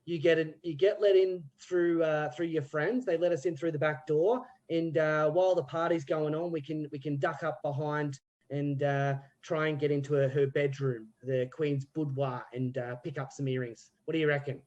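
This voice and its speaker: A man's voice: on the high side, fairly even intonation, normal speed, a little mellow, dull audio, natural voice. A man doing a put-on British accent as a scheming petty crook in an improv scene, rambling with slang and restarts. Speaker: improv player.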